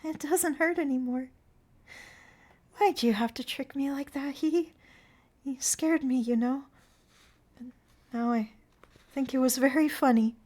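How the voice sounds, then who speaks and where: A woman's voice: sits high, measured pace, pauses often, a little mellow, voice pushed up. A woman voicing a frightened, dying young circus girl who pleads in a breathless, childlike way. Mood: dreamy.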